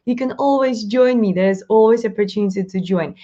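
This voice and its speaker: A woman's voice: mid-range voice, dark tone. Upbeat Russian-accented woman host, a bit muffled. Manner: chatty, upbeat, fast-talking, laughs often. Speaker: live show host, mindset coach. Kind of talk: live-stream Q&A.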